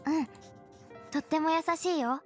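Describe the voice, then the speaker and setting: A woman's voice: high voice, normal speed, faint music behind, close to an everyday voice. A woman voicing an innocent, affectionate little boy with a childlike voice in a visual novel. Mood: happy, affectionate. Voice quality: childlike, faint lisp. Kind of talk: Japanese visual novel voice acting.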